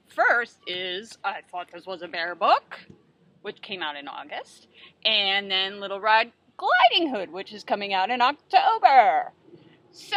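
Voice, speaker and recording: A woman's voice: medium pitch, bright, forceful tone, highs cut off, slight background noise. A woman, a children's book author, talking through writing tips in a playful, self-deprecating way at home. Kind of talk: casual tips video.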